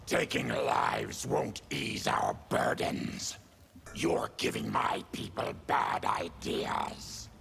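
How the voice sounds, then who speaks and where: Very gravelly, deep monster leader. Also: male voice, huge pitch swings, a bit bright, slight background noise, heavily acted. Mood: stern, angry. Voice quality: guttural, very gravelly. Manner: slow, menacing warnings. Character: grim monstrous male leader of an outcast colony. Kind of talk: fighting-game creature acting.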